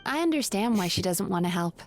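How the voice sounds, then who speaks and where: A woman voicing a composed, gentle therapist in an anime-style game, speaking politely and with measured calm. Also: medium pitch, natural voice.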